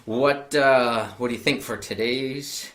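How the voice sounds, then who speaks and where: A woman's voice: a bass voice, steady tone, slow, a bit bright, slight background noise. A young woman hosting a children's show, bubbly and chatty, laughing often and rambling with fillers. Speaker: host of a children's library show.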